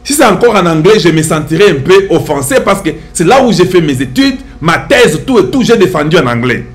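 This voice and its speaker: Emphatic high-voiced Congolese man lecturing. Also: big pitch swings, a little fast. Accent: Congolese French. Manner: preachy, emphatic, rhetorical questions. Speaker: commentator addressing viewers. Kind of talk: impassioned social commentary.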